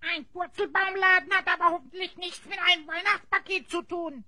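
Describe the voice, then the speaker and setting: Tiny squeaky grumbler, cheeky and naive, fantasy radio play. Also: steady tone, moderate pace, bright, forceful tone, dry recording, exaggerated voice. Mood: naive, peeved. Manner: chattering, complaining. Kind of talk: fantasy audio drama acting.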